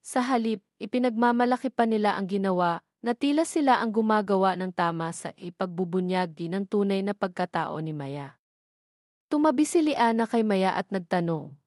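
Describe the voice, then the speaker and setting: A woman's voice: medium pitch, darkish tone, dry recording, studio quality. A woman with a Filipino accent reads a scripted love story as an audio drama narrator.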